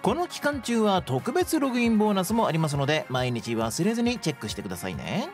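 A woman's voice: fairly low pitch, big pitch swings, quick pace. A woman reading a scripted promotional announcement as a radio show host. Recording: faint music behind the voice. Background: background music.

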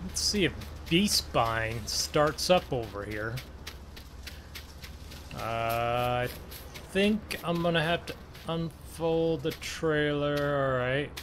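A man with a slightly higher-pitched voice hosts a gameplay video in a casual role-play style. He talks very slowly and in a laid-back way, narrating his own actions to viewers. Clear engine and vehicle noise runs behind him. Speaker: gaming video host. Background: farming game vehicle and outdoor sounds.

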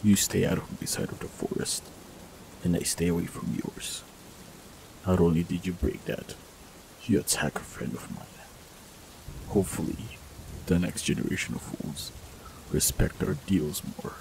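A man's voice: low voice, normal speed, vocal fry, long pauses. A man voicing an ancient immortal male forest warlock in an audio roleplay, speaking with dry, teasing humor. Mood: stern. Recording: steady rain noise behind voice. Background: rain.

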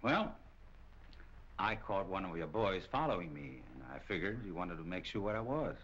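An actor playing a cocky, evasive middle-aged nightclub operator in a 1940s crime film, talking in slangy retorts. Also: male voice, low-pitched, animated, moderate pace, a bit bright. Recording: muffled, noisy old film soundtrack.

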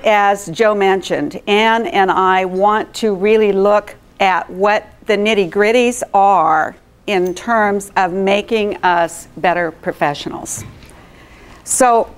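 A middle-aged woman with a big, carrying voice addresses a meeting room without a mic. She speaks slowly and smoothly and keeps drawing the audience in with questions. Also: mid-range voice. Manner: engaging, asks the audience questions and checks in. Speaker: presenter at a professional chapter meeting. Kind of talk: live presentation to an audience, asking questions.

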